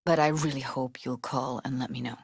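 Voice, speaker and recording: A woman's voice: rumbling low, steady tone, fairly quick, vocal fry, husky, no echo, clean studio sound, full character voice. A woman voice-acting a tough, blunt but tender-hearted young techie in a video game, with clipped, slangy, sweary phrasing. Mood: soft, worried.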